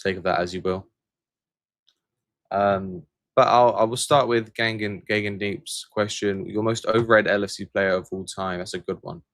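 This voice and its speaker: A man's voice: on the low side, fairly even intonation, normal speed, a bit bright, no echo, studio quality. A man from England hosting a casual livestream, reading viewer comments aloud and answering them in a rambling, hesitant way full of fillers and restarts. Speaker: channel host answering viewer questions.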